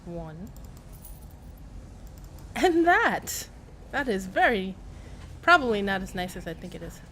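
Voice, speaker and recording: A woman's voice: mid-range voice, very animated, slow, a bit bright, slight background noise. A woman giving casual live game commentary, rambling and playfully self-deprecating as she chats to viewers and game characters. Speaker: game livestreamer.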